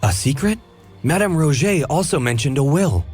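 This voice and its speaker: An actor playing a calm, inquisitive detective in a game, reasoning aloud in a measured way. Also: male voice, on the high side, music in the background, close to an everyday voice. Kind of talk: game cutscene voice acting.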